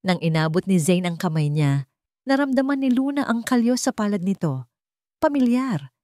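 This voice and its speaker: A woman with a Filipino accent narrates a scripted story in measured, dramatic short phrases. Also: lowish voice, darkish tone, no echo, clean studio sound.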